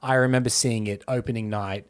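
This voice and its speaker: A man co-hosting a podcast, chatting casually about films in a conversational, measured but opinionated way. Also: medium pitch, steady tone, clear, resonant tone. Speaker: podcast co-host. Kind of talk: casual film discussion.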